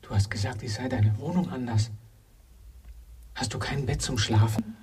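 A man's voice: mid-range voice, normal speed, a little mellow, recorded from a distance, lightly acted. An actor playing a troubled, weary wanderer who faces his younger self, brooding and uneasy in a German radio drama manner. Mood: uneasy questioning.

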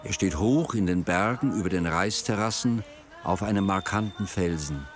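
A man narrating a radio drama in a measured, literary storytelling manner. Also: low-pitched, faint music behind.

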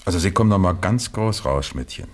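A man's voice: deep voice, expressive, a little fast. A man playing a dry, commanding police captain in a German crime radio play, terse and businesslike. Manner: terse, businesslike, gives orders.

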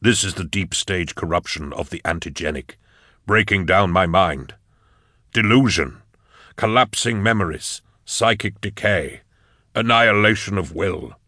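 Audiobook role: grim weary male investigator, voiced by a male reader, deep, dry sound, sombre clipped delivery. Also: unhurried, pushed and bright, dry recording, heavily acted. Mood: bleak. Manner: low, terse, grave. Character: grim, brooding male inquisitor, haunted and weary. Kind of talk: audiobook character acting.

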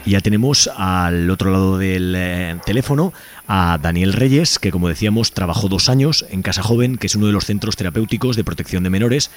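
A man's voice: deep voice, brisk. A man with an accent from Spain, hosting a radio interview in an informal, conversational way with frequent checking tags. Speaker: radio host.

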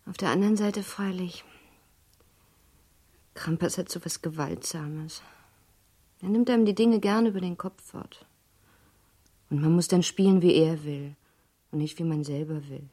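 An actress playing a young baron's wife in a radio play, coquettish yet anxious, with a refined, delicate manner. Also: female voice, lowish voice, a little slow, long pauses, mellow, close to an everyday voice. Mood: curious, uneasy. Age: young adult. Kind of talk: German radio play acting.

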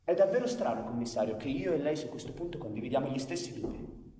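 A man playing a sardonic, world-weary anarchist intellectual in radio theatre, arguing in an articulate, ironic and deliberate way. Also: medium pitch, animated, warm tone, natural voice. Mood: reflective, challenging. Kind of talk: Italian radio theatre acting. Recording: echoey hall, long reverb, background noise.

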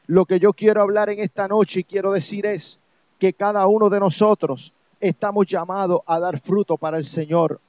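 High-voiced Puerto Rican preacher man. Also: steady tone, moderate pace, a little mellow, no room sound. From Puerto Rico. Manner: exhortative, repetitive phrasing. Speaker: evangelist preacher on a religious program. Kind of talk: sermon. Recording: dull, lo-fi, dry sound.

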